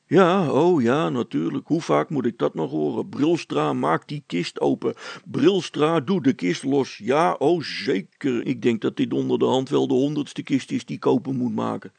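A man plays a weary, put-upon storybook handyman. He complains sarcastically in a heavily creaky, husky voice of middling pitch. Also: moderate pace, darkish tone, no room sound. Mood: exasperated. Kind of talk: children's story character acting.

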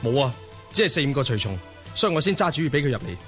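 An actor playing a warlord's eager younger brother and officer, speaking urgently in a Cantonese radio drama. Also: male voice, mid-range voice, big pitch swings, racing, fairly bright tone, soft background music. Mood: dutiful, brisk.

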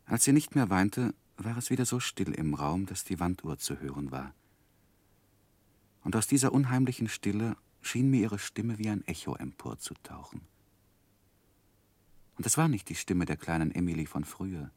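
Wistful storyteller, low pitch, measured reflective delivery, grave, dry studio sound, 1960s radio drama narration. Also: male voice, animated, darkish tone, no echo. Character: grown David Copperfield recalling his life, grave and wistful.

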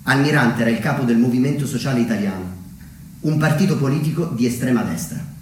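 A man performing a scripted theatrical monologue as narrator, telling his story deliberately with dramatic pauses and emphatic swings. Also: medium pitch, a little hiss or hum. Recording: very echoey, distant, under loud plucked guitar music. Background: plucked guitar music.

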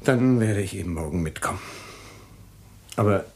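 Man voicing an evasive husband, low voice, defensive and irritable, faint hiss. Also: animated, moderate pace, creaky, darkish tone. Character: nervous evasive husband, dismissive. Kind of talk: radio drama acting.

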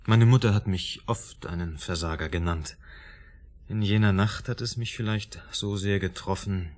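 Actor as a low-voiced, self-pitying failed writer. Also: male voice, normal speed, natural voice. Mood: bitter, dejected. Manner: confessional, self-deprecating. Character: self-pitying unlucky failed writer, a man confessing in therapy. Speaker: therapy patient. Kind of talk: radio drama dialogue.

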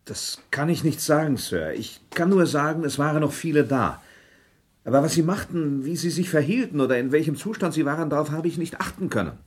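A man's voice: a little high, expressive, fairly quick, slightly rough. An actor playing a reserved, dutiful male ship's officer giving terse, deferential testimony in a German documentary radio drama. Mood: matter-of-fact, subdued. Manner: clipped, deferential, matter-of-fact. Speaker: witness.

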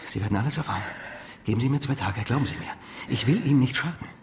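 A man's voice: medium pitch, animated, fast, lightly acted. An actor playing a cold, mocking, manipulative male stranger in a German radio drama, taunting and pressing. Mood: guarded, pressing. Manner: taunting, interrogating, swings between hushed and explosive.

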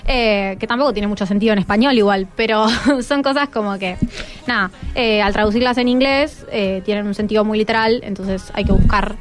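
A woman's voice: medium pitch, steady tone, fairly quick, faint music behind. A teenage female student with an Argentine accent, explaining informally and a little hesitantly as a radio guest, thinking aloud. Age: teens.

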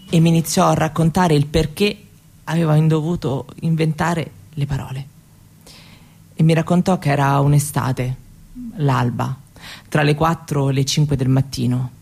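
A woman's voice: low-pitched, creaky, warm tone, slightly rough, heavily acted. An actress playing a young Roma woman who shyly tells her grandmother's story in a hesitant, conversational theatre monologue. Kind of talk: theatrical monologue told as spoken testimony.

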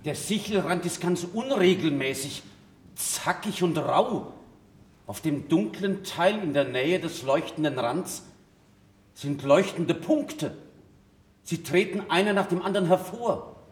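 An actor playing an anxious, doubting friend of an astronomer in a German radio play, agitated and incredulous. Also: male voice, fairly high pitch, animated, measured pace. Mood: awed. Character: Galileo's anxious, doubting male friend, easily shaken. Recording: roomy radio play recording.

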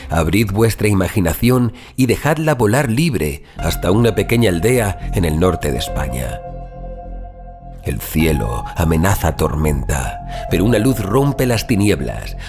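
A man with a Spanish accent from Spain giving an ominous, theatrical scripted introduction as host of horror audio fiction. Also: low voice. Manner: ominous, theatrical, deliberate emphasis. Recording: voice over loud background music.

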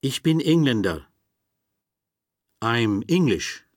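A man reading phrases for a language course, slowly and deliberately, recorded close to the mic. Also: medium pitch, big pitch swings, dry recording. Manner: slow, deliberate, carefully spoken phrases.

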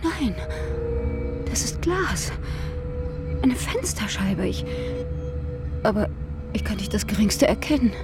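Eine Frau spielt in einem Krimi-Hörspiel eine ängstliche, stockend sprechende Frau. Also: female voice, medium pitch, very animated, music in the background. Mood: frightened, disoriented. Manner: halting, stammering, breathy agitation. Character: anxious actress and frightened mother, waking in the dark. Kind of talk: German radio crime drama acting.

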